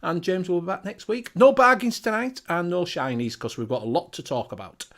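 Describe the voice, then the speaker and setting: A man's voice: high-pitched, fairly quick, fairly bright tone. A man with a Northern English accent hosting a casual live-stream chat, swearing freely and reading out viewer names. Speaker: show host.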